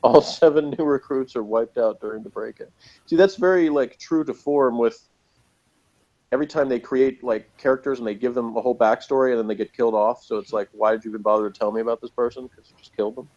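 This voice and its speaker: A man's voice: mid-range voice, quick pace, a little mellow, dull audio. A man chatting casually and wryly as a podcast co-host, reading out notes and commenting on them.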